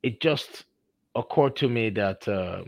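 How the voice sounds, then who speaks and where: Nigerian-accented man hosting, effusive and halting. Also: lowish voice, vocal fry, no room sound. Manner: effusive, halting with frequent fillers. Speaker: podcast host. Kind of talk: conversational interview.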